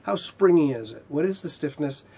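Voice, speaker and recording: Guy in his 50s teaching technical stuff, bit husky, slightly high voice, pauses mid sentence. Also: animated, mellow. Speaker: instructor, R&D manager. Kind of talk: technical lecture to camera. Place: indoor video studio with whiteboard.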